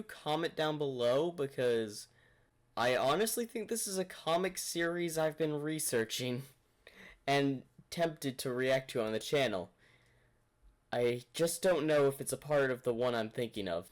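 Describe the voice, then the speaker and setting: A man's voice: a little high, lively intonation, a little slow. A man hosting a reaction video, thinking aloud in casual, rambling commentary.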